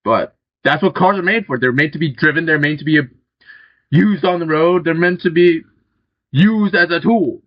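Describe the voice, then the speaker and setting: A man's voice: fairly high pitch, normal speed, dry recording, muffled recording. Casual, swearing young man commenting on a game. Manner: relaxed, rambling, swears casually. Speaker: gaming channel host.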